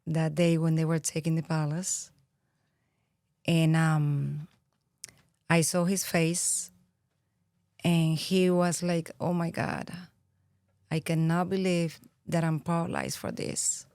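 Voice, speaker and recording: A woman in her thirties from Venezuela with a Venezuelan Spanish accent, a podcast guest speaking earnestly and reflectively, pausing often with fillers. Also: low-pitched, level tone, darkish tone, dry recording, studio quality. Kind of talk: interview conversation.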